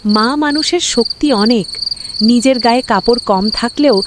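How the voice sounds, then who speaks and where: A woman voicing a gentle, hopeful village mother who reassures her husband. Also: fairly high pitch, a little slow, lightly acted. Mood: tender. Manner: soothing, reassuring. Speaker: cartoon voice actor. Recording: loud cricket and insect noise.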